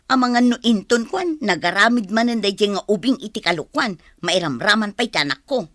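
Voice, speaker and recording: A woman's voice: mid-range voice, animated, normal speed, fairly bright tone, dry recording, clean studio sound, lightly acted. A woman playing a worried village mother in a radio drama, fretful and sighing, with an Ilocano accent. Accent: Ilocano Filipino. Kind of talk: Filipino radio drama acting.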